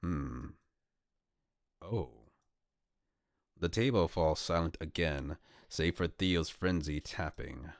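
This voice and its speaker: A young man with a very low, deep voice reads a visual novel aloud in the first person. His delivery is calm and even, close to a home desk mic with a dry, dead sound. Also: slow, long pauses, dry recording. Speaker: VTuber streamer reading a visual novel.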